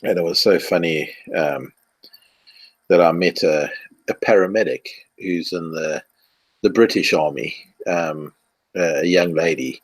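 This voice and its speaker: A man's voice: very deep voice, breathy edge, no room sound. A man with a South African accent, telling stories in a casual podcast chat and laughing often. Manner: animated storyteller, laughs often. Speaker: co-host.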